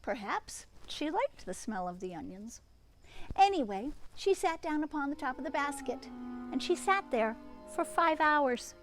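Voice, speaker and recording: A woman's voice: high voice, lively intonation, unhurried, soft background music, rough recording. A woman reading a children's storybook aloud expressively, breaking off for chatty asides to young listeners.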